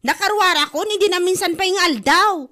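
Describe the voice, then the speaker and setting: A woman's voice: very high-pitched, fairly quick, bright, forceful tone. A woman playing a gossipy, ailing and quarrelsome village wife with an Ilocano accent in a comedy drama podcast. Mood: indignant.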